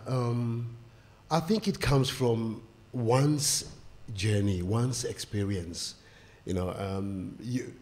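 An older man, a guest author on a stage panel, speaking haltingly with many fillers into a stand mic. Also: lowish voice, darkish tone. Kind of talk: panel discussion. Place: stage of a small indoor venue.

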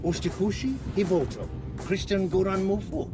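A man's voice: high voice, very animated, normal speed, music in the background. A man acting in a car scene, repeating a line over and over and breaking into laughter. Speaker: actor in a sitcom outtake. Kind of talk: comedy acting with retakes.

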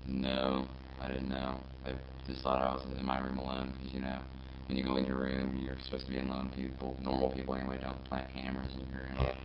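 A man's voice: rumbling low, a little fast, few pauses. A man's voice turned robotic and synthetic by a robot filter, talking in an interview. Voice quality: robotic, synthetic, monotone timbre. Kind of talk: interview about a viral video. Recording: muffled, noisy, echoing audio with hum.

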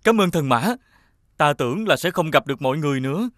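A man playing a gentle, polite young hero in a cartoon fairy tale, earnest, courteous and pleading. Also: a little high, lively intonation, fast talker, no room sound, studio quality. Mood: grateful.

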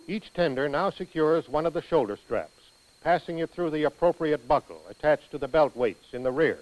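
An American man reading scripted instructional narration for a training film in a precise, measured manner. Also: a little high, expressive, darkish tone, rough recording.

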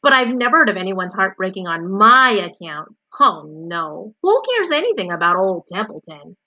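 A sarcastic old rat, as a woman storyteller puts him on, grumbles loudly and mockingly. He is sour and full of self-pity, recorded dry and a little muffled. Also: mid-range voice, little pitch movement, normal speed, dry recording, natural voice.

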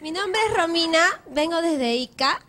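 Very shrill, cheeky girl contestant. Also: expressive, a little slow, pushed and bright, heavily acted. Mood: eager. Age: young adult. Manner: cheeky, interrupting, giggly. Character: chatty, defiant young girl-group contestant. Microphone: handheld. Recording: audience noise behind, studio microphone.

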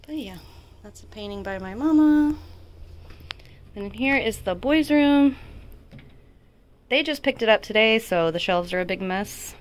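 Casting: young mom vlogger, chatty, self-deprecating, phone-mic sound with faint room hum. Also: female voice, medium pitch. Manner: chatty, laughs often, self-deprecating asides. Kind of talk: casual house tour narration. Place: small home, indoors.

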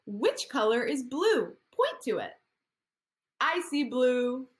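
Lively woman therapist reading to kids. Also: high-pitched, takes it slowly. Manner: animated, sing-song, coaxing children to copy hand gestures. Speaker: occupational therapist. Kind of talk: reading a picture story aloud to young children. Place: indoor room.

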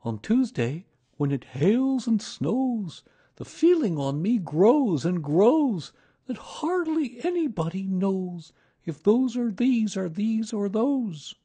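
A man voicing a gentle, simple-minded bear in a storybook reading, hesitant and earnest. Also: sits high, husky, pitched above the performer's own voice, full character voice. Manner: hesitant, earnest, slow-spoken.

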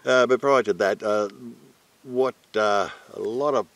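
An older Australian man being interviewed outdoors as a retreat owner, chatting casually in a halting, plain-spoken way. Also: fairly low pitch, fairly bright tone. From New South Wales, Australia. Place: outdoors at a garden table in bushland.

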